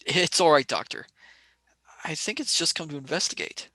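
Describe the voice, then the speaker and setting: Brief: man as an earnest young companion, bright dry voice, radio play. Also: fairly high pitch, animated, normal speed, dry recording. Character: brave young man, space traveller's companion. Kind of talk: amateur radio play acting.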